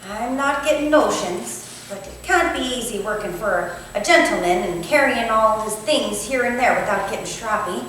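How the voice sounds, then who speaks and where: A woman's voice: a little high, animated, normal speed, few pauses, a bit bright. A woman playing a kindly, deferential young Victorian housemaid, chatting in a homely way in a staged play reading. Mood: chatty, deferential. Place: indoor theatre space, staged reading. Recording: very echoey hall, distant mic, faint noise.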